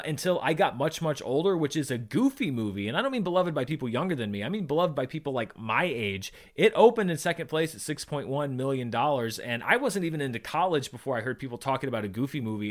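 A man's voice: fairly high pitch, very animated, fast, few pauses. A man in his thirties hosting a show solo, talking through charts in a chatty, enthusiastic way with wry asides. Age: 30s. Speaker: podcast host recapping box office. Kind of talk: conversational solo commentary on movie charts.

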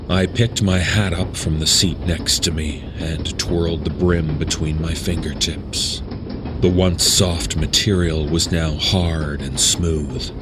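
A man voicing a weary male traveler who narrates his own horror tale in a slow, brooding, deliberate way. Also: rumbling low, noisy background, voice pushed down. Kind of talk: dramatic first-person horror story narration.

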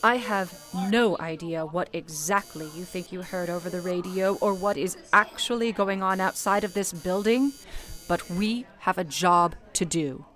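Female actor as 1930s switchboard supervisor in radio drama; lower register, formal, firm, motherly yet stern. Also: unhurried, fairly bright tone. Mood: stern, urgent. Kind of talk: radio play acting, 1930s period drama.

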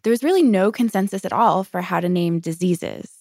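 Female reporter, lowish pitch with vocal fry, conversational narration. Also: fairly quick, darkish tone, dry recording, clean studio sound.

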